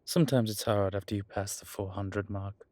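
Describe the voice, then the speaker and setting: A man playing a centuries-old, self-assured vampire in an audio drama, husky, with a dry, wry manner. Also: lowish voice, steady tone, normal speed, dark tone, no room sound, lightly acted. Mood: amused.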